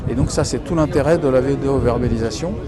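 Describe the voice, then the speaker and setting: A man's voice: mid-range voice, fast. Man, local official, explanatory interview answer, hesitant colloquial phrasing, busy crowd background.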